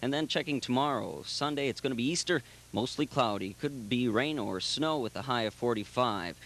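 A young man DJing country music on air in a small radio studio, chatty and inviting calls, speaking into a boom mic with headphones on. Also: normal speed, a bit bright, a little hiss or hum, low-quality recording. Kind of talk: friendly on-air patter.

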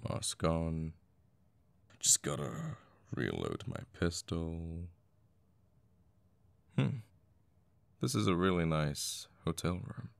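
A man playing a cocky assassin boyfriend in an intimate ASMR audio roleplay, murmuring and sweary, with sighs and pauses. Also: low voice, big pitch swings, creaky, no echo, full character voice. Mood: musing. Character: cocky young male assassin, flippant, menacing, secretly a devoted boyfriend.

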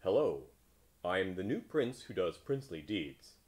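A man voicing an earnest, polite frog who thinks himself a prince, speaking plainly and deliberately in a storybook tale. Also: deep voice, very animated, a little mellow. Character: earnest, polite young male frog, self-styled prince.

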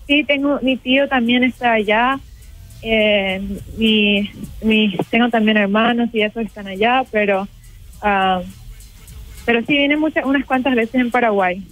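A woman's voice: a little high, a little slow, fairly bright tone, rough recording. Young woman talking hesitantly by phone. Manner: hesitant, mixing in English words. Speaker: café owner, phone guest. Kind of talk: casual phone interview. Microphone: phone line.

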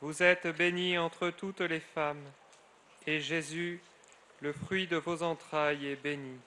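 A middle-aged friar leading solemn prayer in a measured cadence, his phrases falling away at the end. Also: a little high, level tone, sharp tone. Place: outdoors at a grotto shrine.

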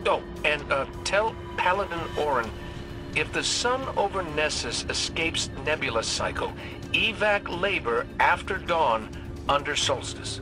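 An actor playing a cocky, wisecracking robot gunslinger in a video game, with an American accent and a wry, folksy, sardonic way of talking. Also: male voice, a little high, lively intonation, measured pace, music in the background, rough recording. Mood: wry, needling. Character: cocky wisecracking male robot gunslinger, roguish hunter leader. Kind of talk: video game voice acting, recorded farewell messages.

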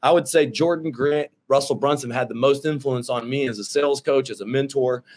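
A man of thirty-six in casual video-call chat from his office, brash and excitable, swearing freely and calling people dude and bro. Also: mid-range voice, brisk. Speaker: roofing company owner and host. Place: office with shelves.

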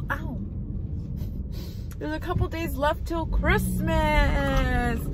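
Chatty young woman vlogging from her car. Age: young adult. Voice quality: husky, slightly low voice. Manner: chatty, rambling, laughs at herself. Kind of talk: casual driving vlog. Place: inside a parked or moving car. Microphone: phone camera on the dashboard. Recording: distant phone mic with road and car noise.

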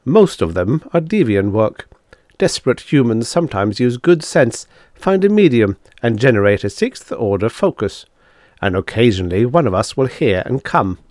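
A man's voice: medium pitch, sing-song, moderate pace, a little mellow, dry recording, studio quality, pitched above the performer's own voice, natural voice. A man voicing a proud, suave devil in an audiobook, lecturing in a matter-of-fact, condescending way as he bargains. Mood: lofty, confident. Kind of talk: audiobook character dialogue.

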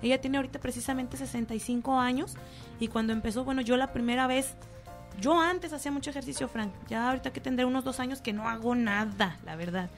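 A woman's voice: high-pitched, fast, soft background music. A middle-aged Mexican woman hosting a talk show, chatting casually with a guest and telling personal stories to her audience. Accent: Mexican Spanish. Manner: chatty, personal anecdotes, addresses audience as friends. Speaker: show host.

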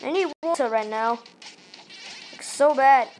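A woman from Malaysia with a Malaysian accent, giving excitable let's-play commentary into a tablet microphone held up to her face, yelping and pleading at the game. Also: high-pitched, measured pace, slight background noise, low-quality recording. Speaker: gaming channel host.

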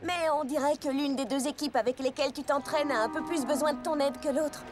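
A woman voicing an earnest, sensible young female pony who gently chides, in a French cartoon dub. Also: high voice, fairly quick, natural voice. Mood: pointed. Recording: music bed under the dialogue.

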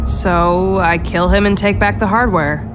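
A woman acts out a direct, tough ranger in a video game. She speaks tersely at a medium pitch. Very loud music plays behind her. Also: steady tone, a little slow, natural voice. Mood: determined. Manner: terse, assertive. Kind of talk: video game dialogue acting.

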